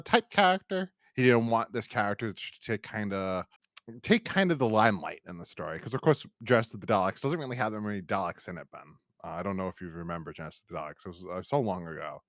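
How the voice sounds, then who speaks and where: A man's voice: on the low side, fairly bright tone, no echo, studio quality. An American man hosting a podcast, talking casually and enthusiastically in a rambling fan-review style. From United States. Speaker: podcast host.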